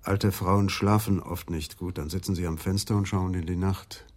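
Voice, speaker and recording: An actor playing a stubborn, sceptical police adjutant in a radio crime drama, speaking in a pointed, insistent way. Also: male voice, deep voice, fairly even intonation, moderate pace. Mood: probing. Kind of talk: German radio play acting, office dialogue.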